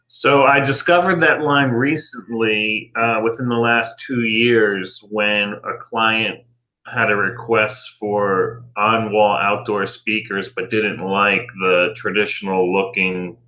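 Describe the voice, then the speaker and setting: A man's voice: fairly low pitch, fairly even intonation, slow pace, bright, forceful tone, poor audio. A middle-aged American man giving conversational answers as an interview guest and business owner.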